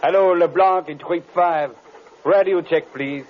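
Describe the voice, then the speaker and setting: An actor playing a chatty, easygoing French co-pilot with a French accent and halting phrasing, in old radio drama style. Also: male voice, on the high side, phone-quality sound, lightly acted. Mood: cheerful. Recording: thin, noisy old radio recording.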